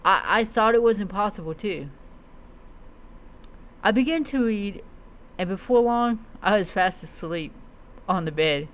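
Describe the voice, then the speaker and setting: A streamer narrating a fantasy adventure tale in a dramatic storytelling style, halting and often stumbling over and repeating words. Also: medium pitch, animated, fairly bright tone. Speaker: streamer narrating own roleplay character's story.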